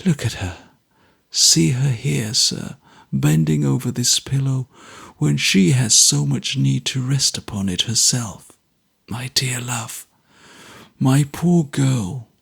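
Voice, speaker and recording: A man voicing a gravely ill young man who speaks slowly, faintly and earnestly. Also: medium pitch, exaggerated voice. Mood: weak, tender.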